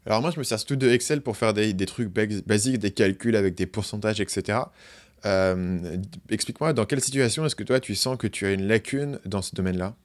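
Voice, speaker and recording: Low-voiced relaxed man hosting. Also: fairly quick. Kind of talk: casual interview chat.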